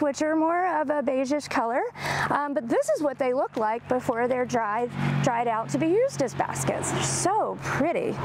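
A middle-aged woman hosting a children's storytime and reading a picture book aloud in an animated, encouraging way. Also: sits high. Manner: animated, exclaiming, encouraging, simple phrasing for young children. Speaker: library storytime host. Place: outdoors in a leafy garden. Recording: clear outdoor background noise.

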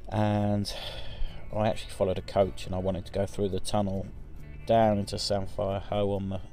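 A man's voice: low voice, monotone, normal speed, mellow, soft background music. A man in his forties giving a casual, rambling voice-over for his driving footage, with many ums and restarts. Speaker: vlogger.

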